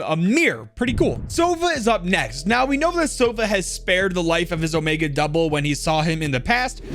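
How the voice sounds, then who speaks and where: A man's voice: high-pitched, fairly bright tone. A man narrating casual game lore commentary for a video.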